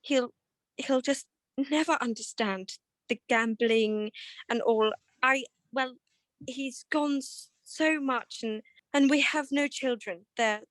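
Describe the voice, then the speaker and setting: Woman acting high-voiced, flustered society wife. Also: a bit bright, dry recording. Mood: anxious, pleading. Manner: hesitant, genteel, flustered. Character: well-to-do married woman client, evasive, anxious, genteel. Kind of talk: 1940s radio detective drama acting.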